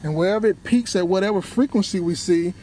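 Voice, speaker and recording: Man, slightly high voice, reviewer, explanatory hands-on commentary, addresses viewers directly, faint background noise. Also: fast talker, mellow. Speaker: car audio reviewer and host. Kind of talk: hands-on product test commentary. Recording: clear background noise, vehicle ambience.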